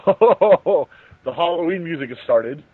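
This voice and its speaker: Husky man on a tinny, echoey call. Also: fairly high pitch, animated, unhurried. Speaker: co-host of a movie riffing show. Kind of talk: casual joking film commentary among friends. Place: remote voice call, indoors. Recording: tinny internet call, roomy echo.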